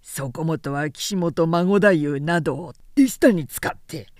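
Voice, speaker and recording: Irascible old male clan elder, performed by a female reader, loud and pompous, period storybook drama. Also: low voice, a little slow, dry recording, studio quality, pitched below the performer's own voice. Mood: blustering, accusing. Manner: forceful, pompous, archaic samurai speech. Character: irascible, blustering old samurai chief retainer.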